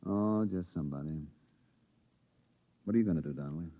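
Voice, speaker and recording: An actor playing a quiet, careful veteran pilot on a moon base in a 1950s radio drama, speaking in a measured, reflective way. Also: male voice. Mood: wistful. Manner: low-key, measured, reflective. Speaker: lead in a radio play. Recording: muffled vintage radio broadcast.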